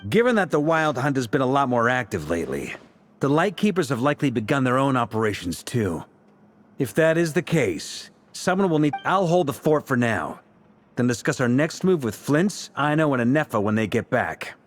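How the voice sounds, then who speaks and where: A man voicing an assured, easygoing older warrior leader in a video game, talking in a relaxed, informal way. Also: mid-range voice, normal speed, soft background music, close to an everyday voice.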